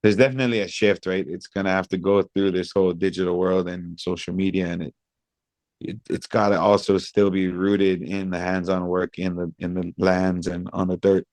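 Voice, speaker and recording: Man from Hawaii, thirties, low steady voice, flat delivery, measured pauses, dry close sound. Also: dry recording. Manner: thoughtful, measured, with many pauses and fillers. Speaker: guest, reggae singer. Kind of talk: reflective interview answers. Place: outdoors by a pool among high-rise buildings.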